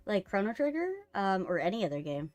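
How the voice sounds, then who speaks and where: A woman's voice: mid-range voice, sing-song, a little fast, a little mellow. A woman chatting casually on a livestream, with a playful, teasing manner. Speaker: streamer hosting a co-op visual novel playthrough.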